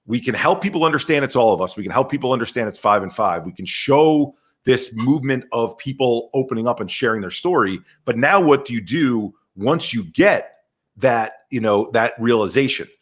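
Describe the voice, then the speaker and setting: Animated man on a video call. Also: medium pitch, moderate pace, breathy edge. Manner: animated, energetic storytelling. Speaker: guest. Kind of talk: casual conversation.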